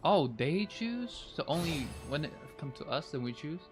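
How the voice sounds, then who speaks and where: A woman's voice: low-pitched, big pitch swings, moderate pace. A woman streaming a game, reacting casually and chatting with viewers. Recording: loud game music behind voice. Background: game music.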